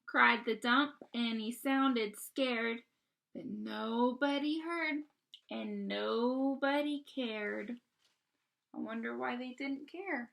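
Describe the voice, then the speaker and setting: A woman reading a picture book aloud to young children, expressively, pausing to ask simple questions and explain. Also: a little high, creaky, a bit bright. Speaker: read-aloud storyteller.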